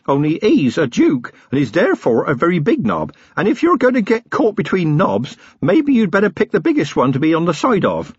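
A man's voice: a little high, wildly swooping pitch, fairly quick, hardly any pauses, husky, no echo, highs cut off, pitched above the performer's own voice, exaggerated voice. A man voicing an eager, naive young policeman with an earnest, rambling and anxiously deferential manner, in an audiobook story. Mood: earnest, hesitant. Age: young adult.

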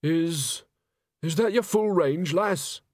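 A man's voice: fairly high pitch, takes it slowly, dry recording, studio quality, pitched above the performer's own voice. A man voicing a patronizing opera auditioner. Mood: taken aback. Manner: drawling, patronizing. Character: condescending unseen opera auditioner, male.

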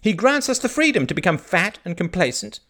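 A man doing a fiery, high-pitched preaching priest. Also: sing-song, brisk, a bit bright. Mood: fervent preaching. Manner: impassioned, sermonizing, rhetorical questions. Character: fiery preaching village priest, male, middle-aged.

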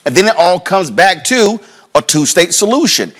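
A man with a moderately high voice hosts a political panel. He talks conversationally, working haltingly toward probing points as he steers the discussion. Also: big pitch swings, a bit bright.

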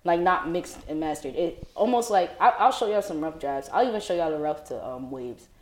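A woman's voice: on the low side, lively intonation, brisk, echoey room. A young woman from New Jersey, a guest artist, chatting informally and slangily in an interview. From East Orange, New Jersey, USA. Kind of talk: casual interview chat.

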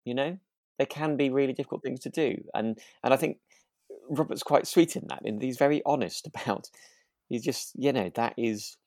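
Creaky-voiced man, hesitant podcast chat. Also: mid-range voice, darkish tone, dry recording, clean studio sound. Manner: hesitant, halting, reflective. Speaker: podcast co-host. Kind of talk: relaxed casual discussion.

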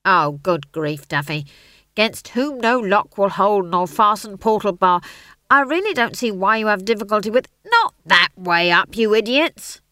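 A woman's voice: medium pitch, animated, unhurried, sharp tone, no room sound, clean studio sound. A woman doing an exasperated stage director. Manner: irritated, snapping.

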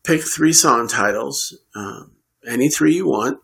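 A man with a mid-pitched voice and plenty of creak in it. He hosts an interview in a conversational style, phrasing his thoughts in a measured, reflective way. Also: fairly bright tone. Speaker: podcast host interviewing a guest.

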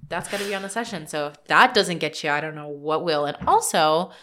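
A woman's voice: sits low, brisk, fairly bright tone, slight background noise. A woman co-hosting a podcast in casual chat, talking loosely with lots of filler words and a slightly congested, nasal sound. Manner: chatty, trailing filler words. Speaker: podcast co-host.

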